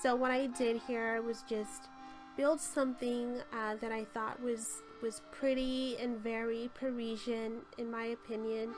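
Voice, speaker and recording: Female host, hoarse from a cold, casual game-tour voice-over. Higher-pitched and monotone, with clear music underneath. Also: measured pace, rough recording. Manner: gushing, chatty, with frequent ums. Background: light background music.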